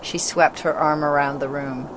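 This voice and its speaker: A woman narrates a scripted storybook. The recording carries clearly audible background noise and ambience around her reading. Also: low-pitched, steady tone, normal speed, rough recording.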